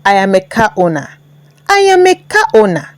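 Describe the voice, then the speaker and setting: Woman playing a boastful young woman, animated. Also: mid-range voice, moderate pace, no echo, pitched below the performer's own voice. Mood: gleeful.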